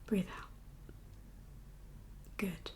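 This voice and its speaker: A woman whispering an ASMR medical roleplay as a kindly doctor, gentle and reassuring in an unhurried, professional way. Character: kindly doctor for undead patients. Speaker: ASMR performer playing a doctor.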